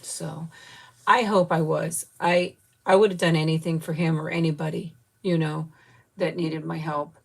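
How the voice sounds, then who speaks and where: A woman in her fifties chatting candidly with viewers, grieving and close to tears, rambling with soft laughs and trailing pauses. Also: low-pitched, fairly even intonation, vocal fry. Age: 50s. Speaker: vlogger chatting with live viewers. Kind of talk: casual live chat.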